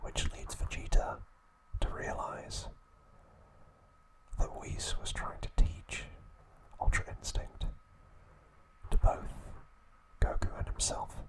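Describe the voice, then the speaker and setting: A man narrating trivia as an ASMR host in a breathy, hushed whisper, in short measured phrases. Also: rough recording.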